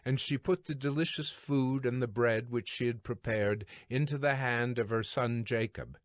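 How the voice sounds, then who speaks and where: A man's voice: mid-range voice, normal speed, no room sound, studio quality. A man narrating dramatized scripture as a scripted storytelling reading.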